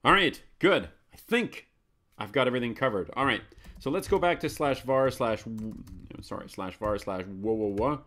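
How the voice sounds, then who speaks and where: A man's voice: medium pitch, animated, normal speed, a bit bright. A man hosting a live computer tutorial, chatty and self-correcting, muttering commands as he types. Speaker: host of a live Linux tutorial show. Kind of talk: live screencast tutorial, thinking aloud.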